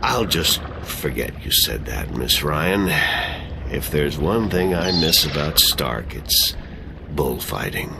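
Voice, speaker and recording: A man's voice: very deep voice, expressive, takes it slowly, vocal fry, noisy background, exaggerated voice. An actor playing a sardonic middle-aged exile who laughs at his own jokes and loves whiskey.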